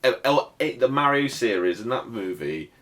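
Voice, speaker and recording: A man's voice: mid-range voice, animated, moderate pace, a bit bright. A man co-hosting a podcast in informal chat, often tagging questions onto what he says. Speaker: podcast co-host.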